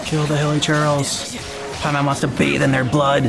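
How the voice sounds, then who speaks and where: A woman's voice: low-pitched, little pitch movement, normal speed, gravelly. A woman hosting a reaction video, chatting casually as she watches a game. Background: game music.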